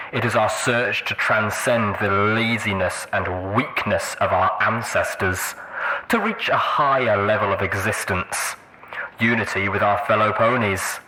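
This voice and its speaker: A man's voice: on the low side, noisy background, natural voice. A man voicing a charismatic pony slaver leader, preaching a grandiose, fatherly propaganda speech over the radio.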